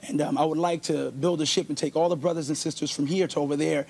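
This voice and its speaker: A young man from Haiti, a guest rapper talking fast and earnestly in a TV studio interview. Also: fairly high pitch, steady tone, vocal fry, a little mellow. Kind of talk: TV talk show interview. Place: TV studio with live audience. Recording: studio crowd and noise behind.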